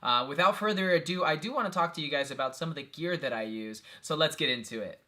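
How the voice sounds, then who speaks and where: A man's voice: on the high side, fast talker, bright, forceful tone, distant mic. A young man recovering from a cold, chatting casually to camera about his filming gear from a small home office, with lots of "you know" and "um". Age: young adult. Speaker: YouTube vlogger.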